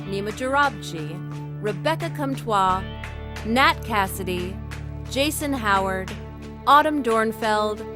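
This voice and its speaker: A woman announcer giving a scripted credits read for an audio drama. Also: medium pitch. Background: loud music.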